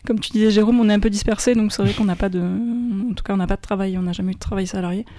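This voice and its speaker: A young woman in a band, explaining her work in a relaxed, casual way during an interview. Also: medium pitch, fast, no echo. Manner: relaxed, explanatory with soft laughs. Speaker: band member, interview guest.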